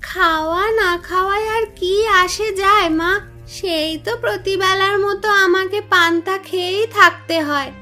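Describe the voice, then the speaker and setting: A woman's voice: extremely high pitch, normal speed, bright, forceful tone, faint music behind. A woman voicing a poor, innocent little village girl in a cartoon fairy tale, speaking in a childlike, plaintive way. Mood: upset, pleading. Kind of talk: animated fairy tale dialogue.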